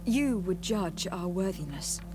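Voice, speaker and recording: A woman's voice: lowish voice, expressive, unhurried, noisy background. An actress playing a courteous, composed young noblewoman diplomat in a fantasy video game, polite and formal. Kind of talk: video game dialogue acting.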